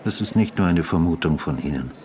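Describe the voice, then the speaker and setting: An older man with a very low voice, a retired detective in a naturalistic radio play. He asks short, sober questions, calm, patient and probing. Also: fairly quick. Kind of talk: naturalistic German radio drama acting.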